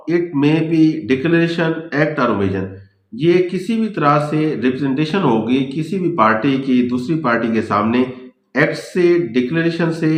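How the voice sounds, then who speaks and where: A man with a Pakistani accent giving an instructional law lecture, reading legal text aloud and explaining it, mixing in English legal terms. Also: on the high side. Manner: mixes English legal terms with explanation in his own language. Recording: echoey, reverberant room.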